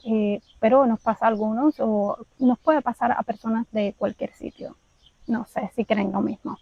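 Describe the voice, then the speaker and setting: A woman's voice: a little high, dry recording, lo-fi sound. A woman from Cuba with a Cuban accent, telling her viewers her own story in a candid, reflective vlog. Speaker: vlogger.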